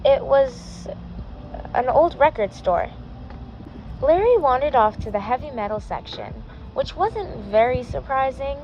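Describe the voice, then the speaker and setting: A woman's voice: sits high, lively intonation, measured pace, a little mellow, muffled recording, slight background noise. A woman reading a fan fiction story aloud, sometimes stumbling and correcting herself. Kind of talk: scripted story narration.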